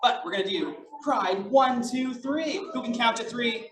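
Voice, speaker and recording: A person reading picture books aloud to children in an animated, encouraging way, with chatty asides. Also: medium pitch, normal speed, crowd noise. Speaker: drag storytime reader. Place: echoey indoor room, library event. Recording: roomy, noisy, distant mic.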